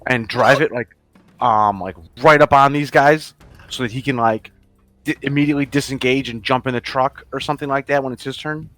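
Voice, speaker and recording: A man's voice: medium pitch, fairly quick, fairly bright tone, dry recording. Casual, slangy guy at a game table. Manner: slangy, sweary. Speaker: player in a tabletop roleplaying game. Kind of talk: casual chat.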